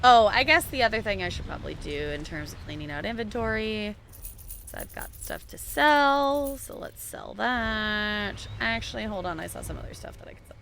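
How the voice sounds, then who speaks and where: A woman's voice: mid-range voice, lively intonation, moderate pace, gravelly, fairly bright tone, faint music behind. A woman in her twenties chatting casually as she streams a game, rambling from thought to thought with frequent laughs. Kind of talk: casual gameplay commentary.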